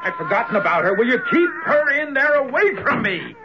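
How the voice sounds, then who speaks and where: An irritable, drowsy character whose voice swoops wildly. She is catty, in a 1970s radio drama, on a dull recording with loud noise. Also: fairly high pitch, big pitch swings, brisk. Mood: irritated. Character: irritable, sleepy, catty woman. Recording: muffled, very noisy old radio recording.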